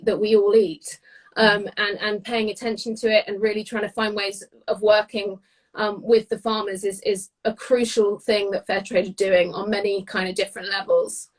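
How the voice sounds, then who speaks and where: A British woman giving a casual live cooking demonstration, chatty and descriptive, often pausing mid-phrase as she explains. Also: mid-range voice, poor audio. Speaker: guest cook demonstrating a recipe.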